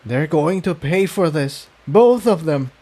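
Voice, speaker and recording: A sensitive young mare from a storybook, acted out by a man, higher than the narrator's usual voice. She speaks haltingly and with great earnestness. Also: expressive, darkish tone. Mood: grimly resolved. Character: sensitive young female pony, shaken but hardening.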